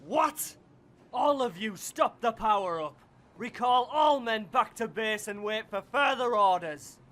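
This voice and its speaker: A stern military commander barks his orders with a high, bright, tense voice, as a man plays him. He talks at an unhurried pace in this fan-made radio play. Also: expressive. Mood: commanding. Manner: barking orders.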